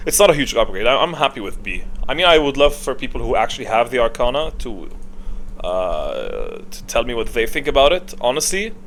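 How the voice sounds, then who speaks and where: A man's voice: mid-range voice, big pitch swings, a little fast, a bit bright. A man giving casual, unscripted gaming commentary in a blunt, opinionated way, swearing now and then. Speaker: video game streamer giving tier rankings. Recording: clear background noise.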